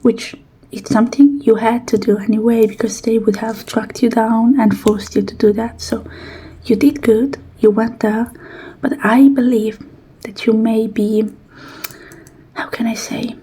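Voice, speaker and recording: A woman whispering softly and breathily in an ASMR roleplay as a technician, with a gentle, reassuring and unhurried manner. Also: a little high, breathy edge, low-quality recording.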